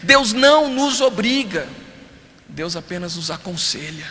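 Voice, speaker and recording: A man preaching as a pastor, shifting between forceful appeals and hushed storytelling. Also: sits high, expressive, measured pace. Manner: preaching with rising and falling intensity, drops to hushed storytelling. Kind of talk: sermon. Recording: reverberant hall, faint ambience.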